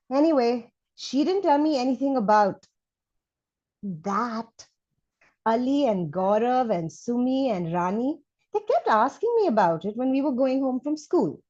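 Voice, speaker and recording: A resentful but curious schoolchild played by a woman reader candidly complains to a new classmate in storybook letters. The delivery is expressive and the recording is slightly muffled. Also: a little high. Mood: grudging. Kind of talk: read-aloud children's storybook.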